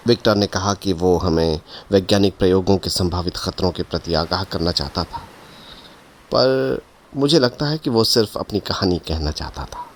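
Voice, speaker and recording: An actor playing a grave, puzzled ship captain and explorer, recounting events in measured audio drama narration. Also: male voice, low voice, a little hiss or hum, natural voice. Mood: sombre.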